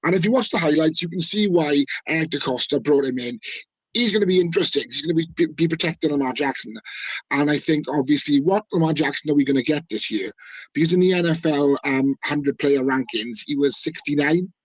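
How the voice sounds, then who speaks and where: Husky-voiced man chatting. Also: on the high side, a little fast, no room sound, rough recording. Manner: rambling, enthusiastic, frequent fillers and restarts. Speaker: guest, team fan. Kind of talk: casual podcast chat.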